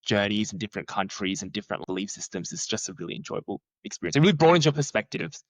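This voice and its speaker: A young man who is a researcher, talking in a chatty, animated way and filling his sentences with "like.". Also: quick pace, no room sound, rough recording. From Australia. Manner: chatty, many "like" fillers, lively swings in pitch. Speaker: researcher, podcast guest. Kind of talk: casual conversational interview.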